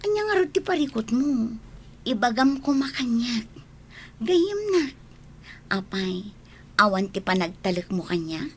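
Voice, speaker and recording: A woman acting a caring, nosy young best friend in an Ilocano radio drama, expressive and probing, with an Ilocano Filipino accent. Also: on the high side, a little slow, long pauses, slight background noise. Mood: concerned.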